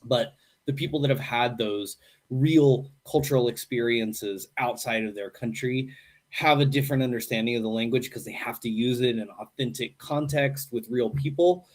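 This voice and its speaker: A middle-aged man from the United States, an English teacher, answering interview questions in a relaxed, chatty way and often adding "right?". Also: medium pitch, normal speed. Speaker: English teacher at a university program.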